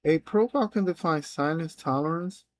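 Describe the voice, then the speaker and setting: A man's voice: fairly high pitch, no room sound. A man whose first language is Spanish, streaming live as a developer, reading plans aloud and thinking through them with frequent restarts and trailing phrases. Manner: halting, reads plans aloud with many restarts.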